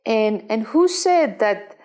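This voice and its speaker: A woman from Estonia with an Estonian accent, speaking English as a second language. She gives earnest, motivational answers in halting, non-native phrasing. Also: fairly high pitch, animated, a little mellow. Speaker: guest. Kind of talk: casual interview chat.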